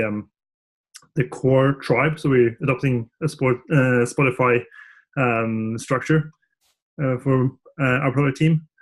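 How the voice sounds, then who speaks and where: A man from Norway talks as a guest on a tech podcast. His pitch sits at an ordinary male level, and his answers come in a relaxed, thoughtful flow broken by frequent ums and restarts. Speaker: engineering director, guest. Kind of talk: podcast interview.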